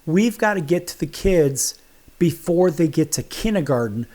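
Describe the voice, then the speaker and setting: A man hosting a sports talk show, animated and firing off rhetorical questions. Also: on the high side, normal speed. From United States. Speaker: host.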